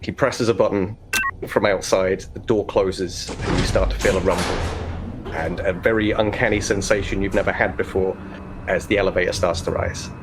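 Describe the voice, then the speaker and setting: A man with a fairly low voice acts as game master, describing scenes in a tabletop roleplaying game. There is faint noise in the background. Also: steady tone, normal speed.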